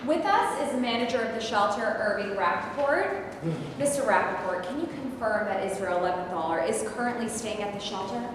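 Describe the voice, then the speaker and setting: A woman's voice: a little high, lively intonation, brisk, close to an everyday voice. Woman as an eager news reporter in a very echoey hall. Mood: probing. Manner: declarative, formal, probing. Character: eager, self-important TV star reporter. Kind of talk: mock news report in a staged play reading. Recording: echoey hall, clear background noise.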